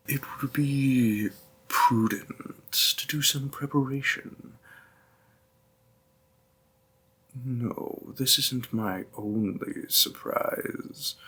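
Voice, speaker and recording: A man voicing a gentle, doting demon boyfriend in an intimate roleplay audio, with formal, courtly, coaxing phrasing and a breathy, half-whispered delivery. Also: lowish voice, exaggerated voice. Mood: tender, earnest. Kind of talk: roleplay audio, intimate second-person acting.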